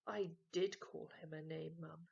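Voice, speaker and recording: A woman's voice: low voice, steady tone, a little slow, creaky, darkish tone, slightly rough, lo-fi sound, full character voice. A woman voicing a defiant little boy who is trying to be horrid, taunting and sulky, in a children's storybook.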